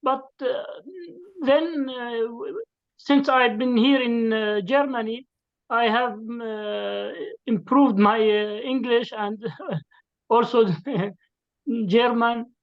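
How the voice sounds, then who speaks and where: Slow, halting man. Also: very high voice, steady tone. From Syria. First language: Kurdish. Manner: halting, many fillers, laughs as he talks. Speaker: participant. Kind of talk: casual sharing on a video call. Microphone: video call. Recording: lo-fi video call audio.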